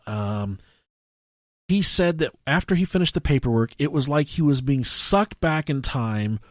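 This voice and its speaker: A man co-hosting a podcast, telling stories casually and comically in a profane, halting way. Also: medium pitch, expressive, dry recording, studio quality. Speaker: podcast co-host.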